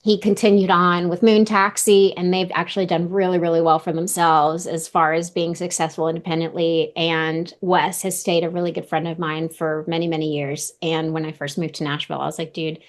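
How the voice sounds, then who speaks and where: A woman's voice: low-pitched, vocal fry. A woman in her thirties, a vocal coach, chatting casually in an interview and repeating her words often.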